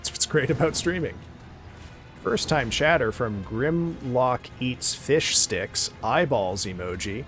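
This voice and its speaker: A man chatting casually on a live stream, excitable and thanking viewers, his voice getting hoarse. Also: mid-range voice, slow, soft background music. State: losing his voice.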